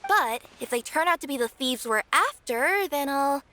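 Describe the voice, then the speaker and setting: A woman's voice: sits high, normal speed, a little hiss or hum. A woman voicing an earnest, easily flustered young cat-girl courier in an English anime game dub. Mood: earnest, businesslike. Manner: earnest, emphatic, animated.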